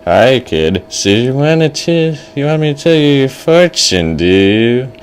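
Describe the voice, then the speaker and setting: A man voicing a kooky, sinister old fortune teller in a told story, making drawn-out, ominous pronouncements with false kindliness. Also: mid-range voice, very animated, slight background noise, pitched above the performer's own voice. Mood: kooky, coaxing.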